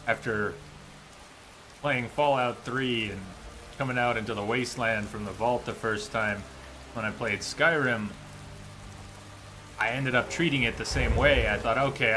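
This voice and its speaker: A man's voice: fairly low pitch, pushed and bright, slight background noise, poor audio. A man giving casual, musing live commentary as he streams a video game. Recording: faint game rain behind voice. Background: game ambience and rain.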